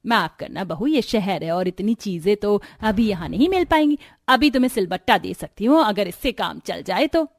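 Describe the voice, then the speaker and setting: A woman voicing a composed middle-aged mother-in-law in a cartoon, kind but firm, in a measured reasoning manner. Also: medium pitch, lively intonation. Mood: gentle.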